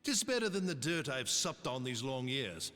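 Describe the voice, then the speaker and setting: An actor playing a bitter, treacherous soldier who taunts his old friend coldly and deliberately. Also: medium pitch, big pitch swings, sharp tone, big-room sound, faint music behind. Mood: taunting. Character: bitter treacherous middle-aged male soldier.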